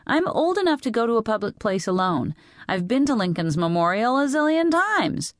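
A woman's voice: a little high, very animated, normal speed, no echo, clean studio sound, pitched above the performer's own voice. A woman voicing a stubborn, indignant teenage girl in an audiobook, heated and insistent as she protests. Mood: defiant, insistent. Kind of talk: audiobook character voice.

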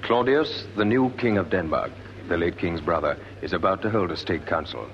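A man's voice: low-pitched, normal speed, a little hiss or hum. A British man giving formal scripted scene-setting narration for a radio drama. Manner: formal, clearly announced. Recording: dull vintage radio recording. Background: music.

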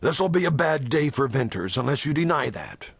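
A man's voice: medium pitch, lively intonation, moderate pace, slightly rough, no echo. A man voicing a grim, menacing religious elder. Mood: grim, tense. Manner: tense, harsh, commanding. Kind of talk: audiobook character dialogue in a western.

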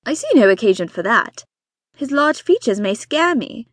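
A deadpan, weary husband with a dry, teasing wit, as a woman reads him aloud. The lines stay calm and understated, in a dry, close reading of a storybook. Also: on the high side, normal speed, no room sound. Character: dry, weary husband, a bookish father of five.

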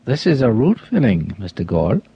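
Man acting a husky, sardonic dental patient. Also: medium pitch, very animated, moderate pace, mellow, no room sound, dull audio, exaggerated voice. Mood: needling.

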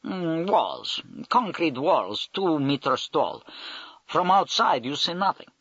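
A man's voice: fairly high pitch, very animated, slow, a bit bright, husky, no echo, dull audio, voice pushed up, full character voice. A man reading an audiobook, voicing a big, blunt Russian army major with broken English and a Russian accent.

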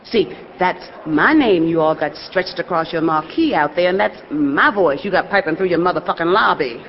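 An actress playing a defiant, world-weary, profane blues singer with a sassy, sardonic manner in an audio drama. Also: female voice, fairly low pitch, expressive, moderate pace, slight background noise. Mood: indignant, sarcastic. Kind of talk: theatrical audio drama acting, with some blues singing. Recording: dull, reverberant stage recording.

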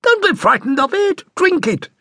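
A man's voice: very high-pitched, big pitch swings, normal speed, bright, forceful tone, dry recording, clean studio sound, voice pushed up, exaggerated voice. A man voicing a bold, opinionated bohemian mother with a languorous manner, lecturing her small son in an audiobook story. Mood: insistent.

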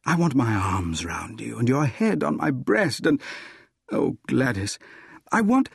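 A man's voice: medium pitch, very animated, measured pace, vocal fry, husky, no room sound, studio quality, heavily acted. A man playing an impulsive young suitor in a storybook romance, pleading earnestly and eagerly for love. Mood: ardent pleading.

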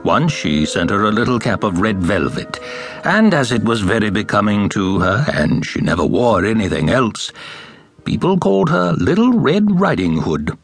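A man reads a scripted fairy tale with music faintly behind him. His delivery is expressive, and his pitch rises and falls widely as he tells the story. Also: moderate pace. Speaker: audiobook narrator.

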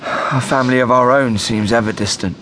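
An English actor playing a fussy, defensive young husband and diarist in a Restoration period radio drama. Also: male voice, medium pitch, fairly even intonation, fairly quick, noisy background, natural voice. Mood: sorrowful. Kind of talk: radio drama with diary narration.

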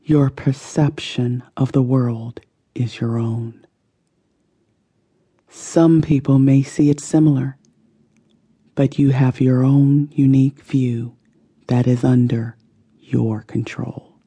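A woman narrating a guided meditation in a soothing, deliberate manner, letting her phrases end softly. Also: a bass voice, fairly even intonation, husky. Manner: slow, soothing, deliberate delivery with soft phrase endings. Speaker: audiobook narrator.

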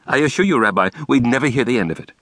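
Theatrical fortyish male principal, high and animated, fussy and indignant, voiced by a male reader. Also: very fast, no room sound, studio quality. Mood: insistent. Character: bouncy flamboyant fortyish male school principal.

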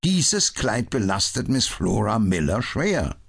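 A man's voice: on the low side, very animated, slow, vocal fry, husky, pitched above the performer's own voice, full character voice. A man reading an audiobook, voicing an indignant, bitter police inspector who barks his words. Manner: blustering and bitter. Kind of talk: audiobook character voice.

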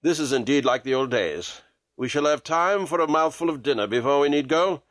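A man voicing a lean gentleman detective in a storybook, measured, precise and nonchalant, cool and commanding. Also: a little high, lively intonation, no echo, clean studio sound, voice pushed down. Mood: composed, assured.

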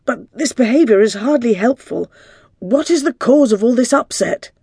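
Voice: mid-range voice, normal speed, dark tone, no room sound, studio quality, natural voice. An audiobook narrator voicing a flustered, exasperated male hospital doctor with a formal way of speaking. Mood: flustered, pleading. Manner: formal, raises voice to plead for order.

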